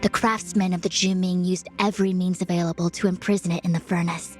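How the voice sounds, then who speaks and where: A woman's voice: fairly low pitch, flat delivery, brisk, natural voice. An actress voicing a proud, scholarly young female diviner in a video game, speaking formally and eloquently with self-assurance. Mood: composed, instructive. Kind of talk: scripted game character voice lines. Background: music.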